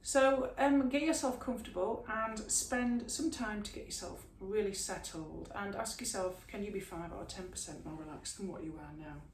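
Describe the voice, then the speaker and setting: A woman teaching a yoga class, giving slow, soothing guidance through stretches and a guided relaxation. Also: mid-range voice. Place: room, recorded at a distance from the camera mic. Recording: echoey room, mic at a distance, faint hiss.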